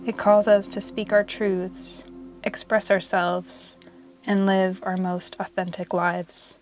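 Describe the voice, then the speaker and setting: Even-toned woman guiding meditation over music. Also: medium pitch. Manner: slow, soothing, measured delivery with long pauses. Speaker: yoga nidra meditation guide. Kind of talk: guided meditation narration.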